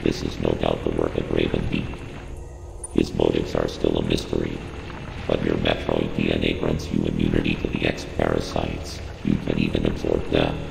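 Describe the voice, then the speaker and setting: A man's voice: rumbling low, monotone, normal speed, vocal fry, exaggerated voice. A man voicing a cold, analytical computer intelligence in a video game, giving formal, emotionless briefings in a synthetic, robot-processed voice. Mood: cold, measured. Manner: formal, clipped, emotionless, instructing. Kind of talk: scripted game mission briefing. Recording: echoing reverberant game audio, loud ambient noise.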